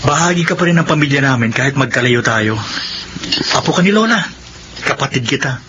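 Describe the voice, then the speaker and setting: A man's voice: mid-range voice, expressive, fairly quick, dull audio, natural voice. An actor playing an affectionate, jovial married man in a Filipino radio drama, talking in a teasing, earnest, brotherly way. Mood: warm, reassuring. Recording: noisy radio drama scene with ambience.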